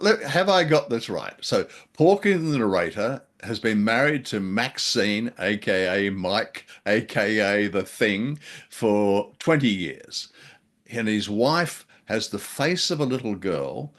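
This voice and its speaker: A man's voice: on the low side, fairly bright tone. A man co-hosting a casual review discussion, talking in a wry, dryly humorous way with hesitant phrasing. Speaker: podcast co-host.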